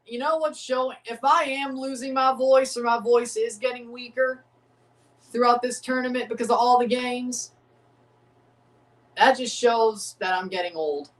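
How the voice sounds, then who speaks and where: A man's voice: extremely high pitch, unhurried. Male streamer, casual, rambling watchalong chat, slightly scratchy voice, mic at a distance. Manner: rambling, excitable, repeats himself. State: voice getting scratchy from overuse. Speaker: streamer hosting a match watchalong.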